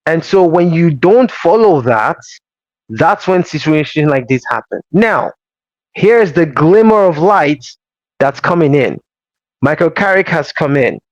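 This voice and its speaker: A man hosting a casual football show, explaining tactics in a measured, emphatic way. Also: a little high, expressive, dry recording. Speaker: host. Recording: slightly dull dry audio.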